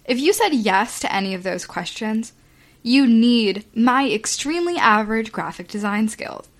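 A woman's voice: a little high, expressive, normal speed. A woman reading a mock infomercial in a tongue-in-cheek, salesy way.

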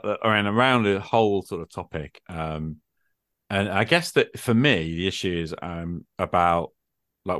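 A man's voice: low-pitched, a bit bright, dry recording, clean studio sound. A man chatting casually as a gaming podcast host, thinking aloud with plenty of "like" and "you know". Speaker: podcast host and game master. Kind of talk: casual conversation about tabletop gaming.

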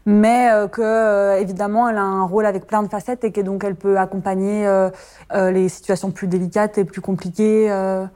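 A woman in her thirties, a midwife. She talks in a mellow, rounded voice at a middle pitch, full of pauses and small fillers. She laughs easily as she thinks aloud. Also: little pitch movement. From France. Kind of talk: personal interview testimony.

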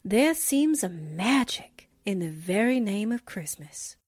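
A woman's voice: medium pitch, sing-song, slow, a little mellow, breathy edge, no room sound, clean studio sound. A woman presenting for a radio drama cast in a scripted, read-aloud style. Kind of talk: scripted holiday greeting and essay reading.

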